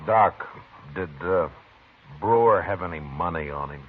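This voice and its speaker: An actor playing a calm, stern Old West marshal in 1950s radio western style, clipped and unruffled. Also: male voice, deep voice, a little slow. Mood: musing, grim. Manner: clipped, laconic, unruffled questioning. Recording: muffled, noisy 1950s radio recording.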